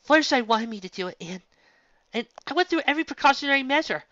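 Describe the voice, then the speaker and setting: A woman's voice: sits high, lively intonation, fast talker, fairly bright tone, no room sound, dull audio, pitched above the performer's own voice. A woman doing a frantic young unicorn mare. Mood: nervous denial. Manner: frantic, breathless pleading. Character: anxious bookish young female unicorn.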